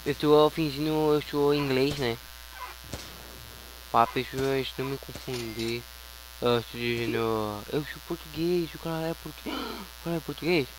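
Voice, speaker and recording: A Brazilian man chats casually over his gameplay in a medium-pitched voice. He talks in slang and often mutters to himself. The home recording carries a noticeable electrical hum. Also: unhurried. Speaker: gaming YouTuber.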